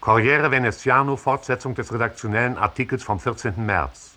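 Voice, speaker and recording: An actor playing a formal, emphatic reader of a newspaper editorial in a 1960s German radio drama. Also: male voice, mid-range voice, brisk, music in the background, close to an everyday voice. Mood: grave, formal. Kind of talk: scripted announcer reading, 1960s radio drama.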